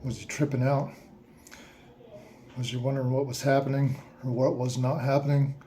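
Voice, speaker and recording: A man slowly asking listeners a series of reflective questions, with playful asides in a surprised voice. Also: mid-range voice, flat delivery, mellow, slight background noise. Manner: slow, repetitive questions with mock-surprised asides.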